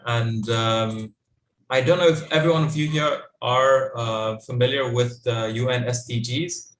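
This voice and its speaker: A young man moderating a youth panel over a video call, halting with many fillers. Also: medium pitch, fairly even intonation, slight background noise. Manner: halting, full of ums and you-knows. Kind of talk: hesitant casual moderating. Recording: echoey room.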